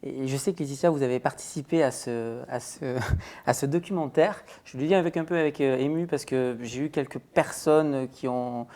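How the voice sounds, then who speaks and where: A woman hosting a studio discussion, asking her questions in a hesitant, halting way. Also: a bass voice, warm tone. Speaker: host.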